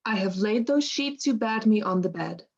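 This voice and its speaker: A woman's voice: mid-range voice, animated, moderate pace, lo-fi sound. A woman playing a worldly, outspoken lady's maid in a Shakespearean radio play, with a wry, frank manner. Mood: attentive, brisk.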